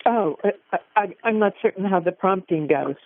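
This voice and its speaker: A woman caller asking a question over the telephone in a deliberate, polite, halting way. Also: mid-range voice, expressive. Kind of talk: formal, careful question on a call. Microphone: telephone call. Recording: echoless, narrow telephone line.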